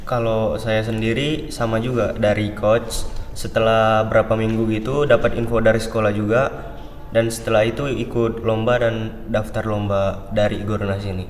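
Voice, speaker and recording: A teenage boy, a student guest, telling his story in a plain, measured way. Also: on the low side, level tone, a little hiss or hum. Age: teens. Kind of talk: casual interview answers. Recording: echoey room with reverb.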